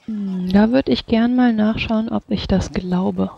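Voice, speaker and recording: A man voicing a formal, skeptical female mage interrogator in a tabletop game, questioning in measured words. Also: high voice, creaky, highs cut off, voice pushed up, full character voice. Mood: stern skepticism. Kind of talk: tabletop roleplay in character.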